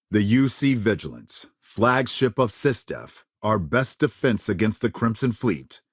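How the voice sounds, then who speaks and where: An actor playing a grave, polished sci-fi news anchor in a video game, reading scripted bulletins with authoritative, dramatic emphasis. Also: male voice, on the low side, no room sound, clean studio sound. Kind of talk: scripted broadcast news delivery.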